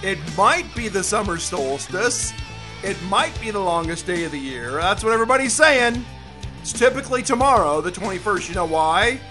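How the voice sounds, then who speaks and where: A middle-aged American man hosting a casual solo radio show, rambling and folksy, with a slightly hoarse voice. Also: high-pitched, pushed and bright. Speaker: radio and podcast host.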